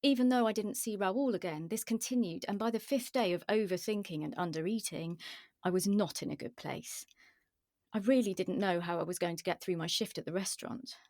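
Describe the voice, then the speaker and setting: A woman's voice: medium pitch, fairly quick, a little mellow, no room sound, studio quality, natural voice. An actress playing an anxious, frank young woman who narrates her own story in an intimate, wry, confessional way and acts out her dialogue. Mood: rueful confession. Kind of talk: confessional first-person narration with acted dialogue.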